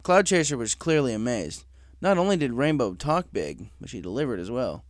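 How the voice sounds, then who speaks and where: A man's voice: medium pitch, big pitch swings, normal speed, no echo, clean studio sound. A man reading a story aloud as a scripted narrator. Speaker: story reader.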